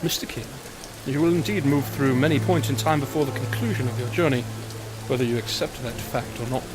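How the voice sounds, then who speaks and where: An actor with a British accent playing a mysterious, polite time-travel guide in a formal, measured audio drama style. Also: male voice, mid-range voice, over music, lightly acted. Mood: patient, earnest. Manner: formal, measured, gently reproachful.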